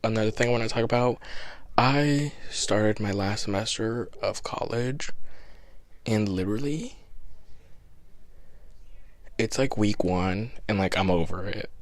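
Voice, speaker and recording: A young man in his twenties talks into a podcast microphone with a raspy, somewhat low voice. He rambles and keeps getting sidetracked. He pokes fun at himself, and the sound is close and dry. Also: unhurried, long pauses, dry recording. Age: 20s. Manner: rambling, self-deprecating, easily sidetracked. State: slightly out of breath. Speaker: podcast host. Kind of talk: casual solo podcast chat.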